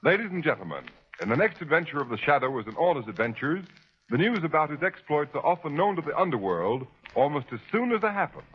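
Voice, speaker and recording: A male announcer from 1930s radio delivers scripted copy with formal emphasis. His fairly high voice swings widely and has a husky edge, on a muffled old recording. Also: sing-song, quick pace. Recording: muffled 1930s radio, faint music behind.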